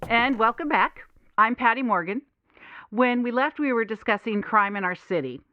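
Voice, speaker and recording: A woman playing a composed radio call-in host, measured and articulate, in an audio drama. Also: fairly high pitch, animated, noisy background, close to an everyday voice. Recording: dry, dull lo-fi radio sound.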